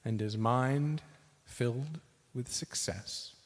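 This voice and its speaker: Man telling a story slowly in a big hall. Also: medium pitch. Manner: theatrical, deliberate pauses between short phrases. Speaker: cast member in a dramatic reading. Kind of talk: dramatic spoken-word storytelling performance. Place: large synagogue hall. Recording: reverberant large hall.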